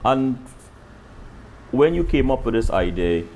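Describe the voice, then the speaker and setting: A man's voice: mid-range voice, big pitch swings, darkish tone, poor audio. Older guy hosting, very up and down voice, repeats himself a lot, bit of faint hiss behind. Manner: repeats words, halting questions. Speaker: interview host. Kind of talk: interview.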